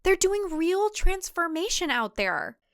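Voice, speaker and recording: A woman's voice: very high-pitched, sing-song, unhurried, no room sound, clean studio sound, pitched above the performer's own voice, exaggerated voice. A woman voicing a sweet, submissive, eerily cheerful young farm wife in audio fiction, with a chirpy, placating, singsong delivery. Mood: placating, firm. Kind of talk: audio fiction character dialogue.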